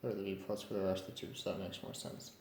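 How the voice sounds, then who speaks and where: A man's voice: low-pitched, flat delivery, fast, darkish tone. A man giving a casual hands-on demonstration, tentative and thinking aloud as he works. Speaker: vintage radio repair hobbyist narrating. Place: indoor room at a cluttered workbench. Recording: echoey room with faint background noise.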